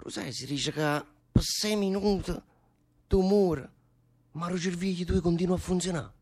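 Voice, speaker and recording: A man's voice: high voice, moderate pace, a little mellow, husky. An actor playing a brooding, fatalistic man facing death, speaking earthy, insistent dialect with a southern Italian accent in a theatre drama. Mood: musing. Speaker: stage actor in a radio theatre play.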